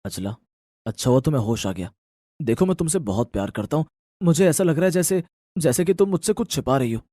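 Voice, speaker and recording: A man's voice: a little high, expressive, a little fast, warm tone, no room sound, studio quality. A man voicing an emotional husband and father with an earnest, questioning manner in an audio story. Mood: concerned, insistent. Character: emotional adult husband, shocked and longing father.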